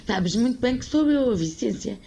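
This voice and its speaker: A woman's voice: a little high, lively intonation, normal speed, creaky, warm tone, slight background noise. A woman playing a theatrical, scolding, lovelorn older village busybody in a radio soap, with a European Portuguese accent. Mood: indignant, scolding. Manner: theatrical, indignant, plaintive. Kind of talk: old-fashioned radio soap acting.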